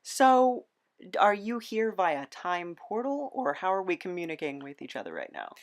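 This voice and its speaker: A woman's voice: on the low side, big pitch swings, moderate pace. A woman hosting a casual comedic interview podcast, speaking in a hesitant, slightly awkward way.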